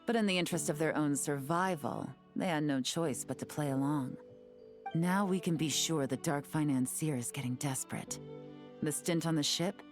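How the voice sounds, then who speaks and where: An actress plays a shrewd female intelligence agent in a video game. The voice is low and composed, confident and calculating in how it lays things out, with faint music underneath. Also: natural voice. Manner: composed, assured, measured explaining. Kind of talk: game character dialogue.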